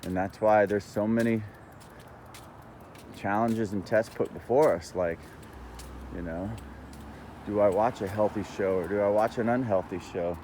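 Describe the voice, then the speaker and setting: A man talking in a casual, rambling monologue, halting but earnest and opinionated, as a vlogger. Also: fairly low pitch, steady tone, a little mellow, slight background noise.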